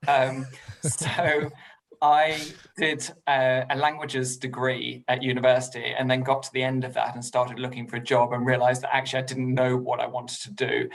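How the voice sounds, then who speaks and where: Gravelly, flat-toned English man chatting. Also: medium pitch, rough recording. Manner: polite, self-deprecating, with many ums and laughs. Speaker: podcast guest. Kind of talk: casual interview chat.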